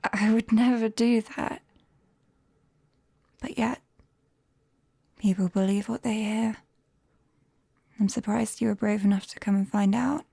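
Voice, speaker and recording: Breathy, whispering British witch, acted by a woman. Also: medium pitch, fairly even intonation, no room sound. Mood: wary, apologetic. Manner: slow, gentle, hesitant. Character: gentle, shy, clumsy young female forest witch, caring. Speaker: ASMR roleplay performer. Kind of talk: whispered ASMR roleplay. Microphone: close binaural microphone.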